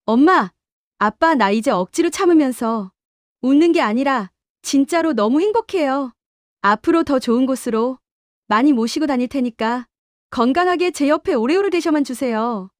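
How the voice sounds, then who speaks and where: A woman voicing a composed heroine who speaks calmly and precisely in an audio drama. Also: high-pitched, normal speed, dry recording, studio quality. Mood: warm. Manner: calm and precise. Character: heroine, composed successful daughter-in-law.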